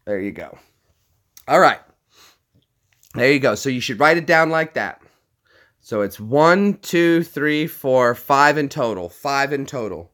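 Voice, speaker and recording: A man's voice: mid-range voice, expressive, measured pace, pauses often, fairly bright tone. A man from Tennessee with a neutral American accent, chatting casually to camera. He is jokey and explains things step by step. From Tennessee, United States. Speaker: vlogger.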